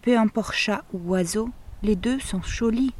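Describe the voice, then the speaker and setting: Slow, mellow-voiced woman. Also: mid-range voice, husky. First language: German. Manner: slow, intimate, lyrical delivery. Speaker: podcast host. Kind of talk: reading a poetic letter.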